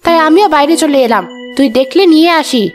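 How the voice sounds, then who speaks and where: A woman voicing a cruel, scolding older village mother-in-law in an animated horror story, nagging and full of contempt. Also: high voice, quick pace, natural voice. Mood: contemptuous. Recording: music under the voice.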